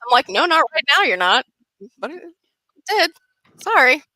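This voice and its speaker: A woman's voice: sits high, big pitch swings, normal speed, sharp tone, poor audio. A woman chatting casually as a guest on a live stream panel, explaining things in a blunt way.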